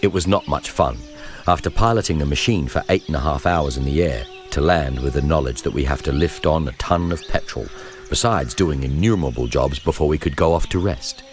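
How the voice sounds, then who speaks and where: Man, bass-deep voice, scripted memoir narration, formal, measured delivery, clear music behind. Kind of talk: scripted audiobook-style narration of a historical journal.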